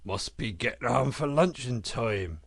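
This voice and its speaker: A man voicing a kindly older badger in a storybook, quiet and homely, with a simple, fatherly manner. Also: medium pitch, animated, a little slow, creaky, dry recording, clean studio sound. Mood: hospitable.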